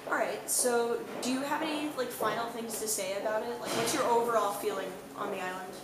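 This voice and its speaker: Young woman doing a street interview, fast, slightly high voice, echoey and noisy outside. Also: steady tone. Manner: quick, casual questions. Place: outdoors on an island. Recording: roomy, noisy outdoor audio.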